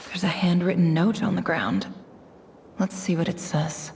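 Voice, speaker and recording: An actress playing a woman investigator who calmly examines a grim scene, in measured, deliberate speech, with a reverberant hall sound. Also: lowish voice, creaky, noisy background. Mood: somber. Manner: slow, measured observation. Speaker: investigating narrator. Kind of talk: game cutscene voice acting.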